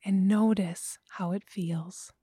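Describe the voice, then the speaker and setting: Female meditation guide, very slow, hushed whispering delivery, warm and dark tone. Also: medium pitch, steady tone, breathy edge, dry recording. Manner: slow, soft, often whispered.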